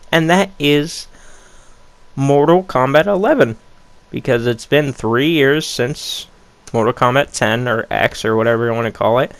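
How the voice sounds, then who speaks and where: A man's voice: medium pitch, no echo. A young man ranking upcoming games in a casual vlog, blunt and rambling with a lot of swearing, his voice a little worn. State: losing his voice. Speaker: gaming YouTuber.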